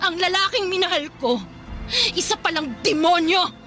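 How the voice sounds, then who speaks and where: A woman's voice: extremely high pitch, moderate pace, bright, forceful tone, faint music behind. A woman playing a scorned, proud ex-mistress in a Filipino radio drama, confrontational, sarcastic and emotional. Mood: anguished fury.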